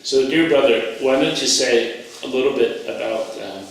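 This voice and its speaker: A man interviews an elderly guest, asking warm, halting questions full of fillers. His voice sits at a medium pitch. It sounds distant, with strong room echo and a faint hiss. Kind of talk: oral history interview. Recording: echoey room, distant mic.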